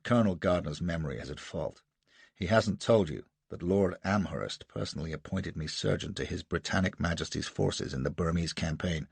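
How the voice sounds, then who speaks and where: Man voicing a cool american rogue, defiant, clipped, very deep, husky. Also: moderate pace, no room sound, full character voice.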